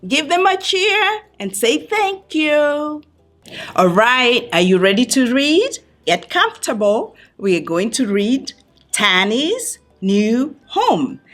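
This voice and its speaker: A woman speaks to young children as if at story time. Her pace is slow and her pitch moves up and down a great deal. She explains things simply and asks questions, with soft music in the recording. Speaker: refugee center worker reading a picture book to children. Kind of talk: read-aloud and talk addressed to young children.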